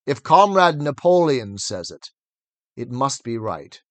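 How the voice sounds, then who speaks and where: A man voicing Boxer, a big, slow, honest male workhorse who speaks plainly and earnestly. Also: medium pitch, big pitch swings, no echo, voice pushed down. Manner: plodding, earnest, simple.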